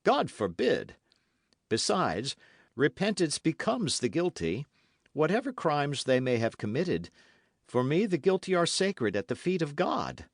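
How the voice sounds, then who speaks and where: A man plays a grave young officer, devout and unbending, whose words come serious and measured. The delivery is lively in pitch and close and dry. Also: dry recording, close to an everyday voice.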